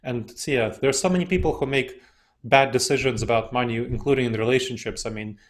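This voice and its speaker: A man whose first language is not English, a guest in a casual interview, telling personal stories in a relaxed way and laughing often. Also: mid-range voice, quick pace. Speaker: interview guest, decision-making expert.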